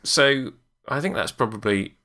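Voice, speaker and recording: A man's voice: mid-range voice, a little slow, pushed and bright. A man co-hosting a podcast in casual, hesitant chat. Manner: hesitant, lots of ums and uhs. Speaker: podcast co-host.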